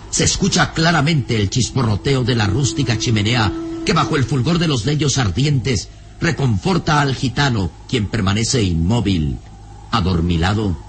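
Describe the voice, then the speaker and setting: An actor as the storyteller of an adventure radio serial, narrating in a theatrical, suspenseful, carefully enunciated way. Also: male voice, on the low side, slight background noise, close to an everyday voice. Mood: atmospheric.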